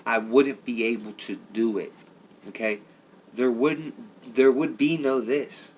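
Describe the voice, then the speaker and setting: A middle-aged man with a raspy voice, tired and rambling as he talks casually to viewers on a live stream. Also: mid-range voice, fairly even intonation, a little slow, a little hiss or hum. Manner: rambling, heavy with filler phrases. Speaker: vlogger.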